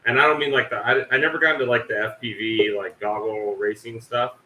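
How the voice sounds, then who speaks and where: A man hosting a casual livestream, rambling and poking fun at himself. His voice is bright and forceful. Faint noise sits underneath. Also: mid-range voice, fairly even intonation, brisk, echoey room, low-quality recording. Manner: rambling, self-mocking, swears casually. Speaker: livestream host. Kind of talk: casual chat.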